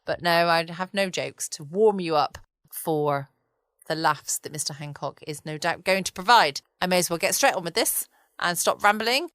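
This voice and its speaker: Low-pitched, chatty woman, close sound. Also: moderate pace, no room sound. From England. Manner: relaxed, chatty. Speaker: podcast host. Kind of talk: casual rambling bedtime chat. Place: at home indoors, quiet.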